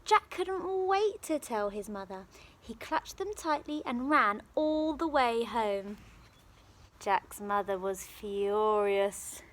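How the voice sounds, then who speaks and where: High-voiced, animated woman storyteller. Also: slow pace. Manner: expressive, dramatic, careful phrasing. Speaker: primary school teacher. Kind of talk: storytelling for young children. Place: outdoors in a garden. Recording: faint outdoor background noise.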